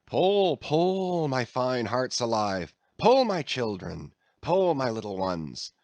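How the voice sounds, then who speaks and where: Brief: male reader plays a cajoling, teasing mate urging rowers, pitched well above his own voice. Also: wildly swooping pitch, takes it slowly, no echo, exaggerated voice. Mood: coaxing. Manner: drawling, soothing cajoling mixed with mock scolding. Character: easygoing male whaling mate, coaxing and jocular.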